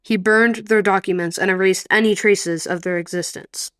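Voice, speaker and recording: A woman's voice: mid-range voice, steady tone, normal speed, a bit bright, no echo, clean studio sound, lightly acted. A woman voicing a nervous, secretive male royal staffer who speaks in a formal, defensive way in a radio play.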